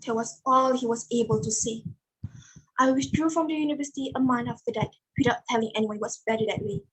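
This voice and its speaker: A woman plays a young village woman in a staged monologue, hurt and humiliated. Her voice is fairly high and husky. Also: fast, low-quality recording. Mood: hurt, reflective. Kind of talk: dramatic monologue in a play reading.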